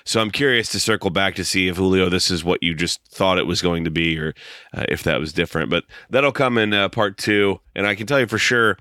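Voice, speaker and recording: A film podcast co-host trades dry, wry jokes in an easy chatting style. His voice sits very low but comes out bright and forceful. The recording is dry and close. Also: fairly quick, no room sound. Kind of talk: casual film podcast chat.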